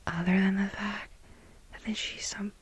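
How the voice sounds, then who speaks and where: A woman playing an infatuated, jealous young research assistant to her boss in an ASMR role play, breathy, halting and gushing. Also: mid-range voice, level tone, a little hiss or hum, lightly acted. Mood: catty, jealous. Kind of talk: soft-spoken whispered ASMR role play.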